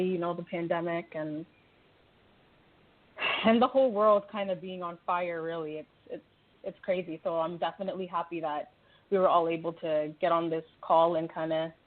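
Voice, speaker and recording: Woman in her twenties, radio host, relaxed and friendly, phone-line audio. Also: on the low side. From Canada. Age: 20s. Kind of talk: casual radio talk.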